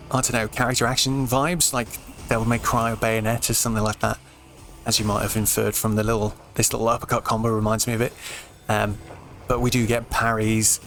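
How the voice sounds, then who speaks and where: A British man giving laid-back, explanatory let's play commentary in an informal way, his voice slightly croaky. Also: lowish voice, fairly bright tone. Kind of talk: casual game commentary.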